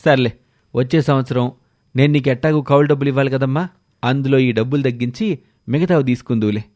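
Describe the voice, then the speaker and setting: A man's voice: medium pitch, quick pace, a little mellow, dry recording, clean studio sound, lightly acted. A man voicing a kindly, blunt village uncle and farmer with a rustic, fatherly manner.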